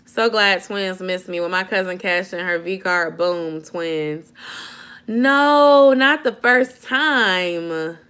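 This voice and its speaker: Bright-voiced young woman chatting with viewers. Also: fairly low pitch, takes it slowly, clear, resonant tone. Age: 20s. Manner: animated, laughing often, slangy. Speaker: vlogger chatting with live viewers. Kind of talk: casual live chat, reading comments.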